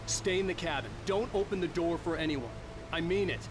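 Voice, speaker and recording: A man's voice: on the high side, a little fast, background noise, close to an everyday voice. An actor playing a tense, urgent male hero in a horror game.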